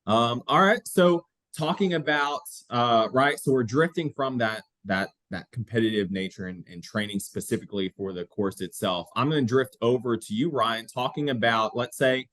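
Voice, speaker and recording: A man's voice: mid-range voice, normal speed, hardly any pauses, a bit bright. A man hosting an informal instructional podcast discussion, explaining in a rambling way, repeating words and checking in with "right?". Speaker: podcast host and fire academy fitness instructor. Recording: roomy, echoey sound.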